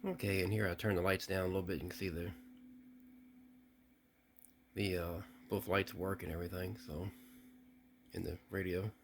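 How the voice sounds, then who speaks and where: A man's voice: low-pitched, little pitch movement, moderate pace, long pauses, slight background noise. A man talking casually as he unboxes and tests an old radio, thinking aloud with small restarts. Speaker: radio hobbyist testing gear.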